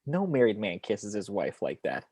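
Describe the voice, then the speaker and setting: A man's voice: on the low side, huge pitch swings, normal speed, dark tone, dry recording. A man playing a cynical, cocky angel of death in a film script table read, arguing in a slangy, opinionated way. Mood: argumentative.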